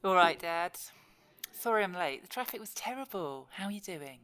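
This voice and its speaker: Actress as a lively, coaxing carer. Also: female voice, lowish voice, normal speed, background noise, lightly acted. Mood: patient, coaxing. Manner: coaxing, reassuring. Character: adult women caring for elderly, patient and cajoling. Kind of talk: audio drama acting.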